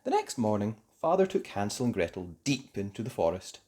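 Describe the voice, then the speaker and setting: Lively, theatrical children's storyteller. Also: medium pitch, fairly quick, slightly rough. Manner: theatrical, emphatic for young listeners. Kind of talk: expressive scripted storybook narration.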